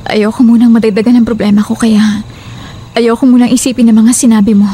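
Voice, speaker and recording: Horror audio drama role: woman playing young female friend, high voice, reassuring yet dismissive, troubled, light background noise. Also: level tone, brisk, dark tone, lightly acted. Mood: defensive, weary. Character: troubled, trusting young woman, soothing then defensive friend.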